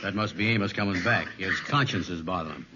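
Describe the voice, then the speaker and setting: An actor playing a slow-witted, gullible sidekick with a muddled, hesitant manner in old-time radio sitcom style. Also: male voice, low voice, natural voice. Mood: dim, puzzled. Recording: muffled, very noisy vintage radio recording.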